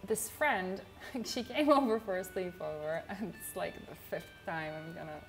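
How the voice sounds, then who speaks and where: Chill young israeli woman streaming, rambly and low-key, soft music behind her. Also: moderate pace. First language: not English. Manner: low-energy, chill, rambling with frequent fillers. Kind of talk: casual livestream chat.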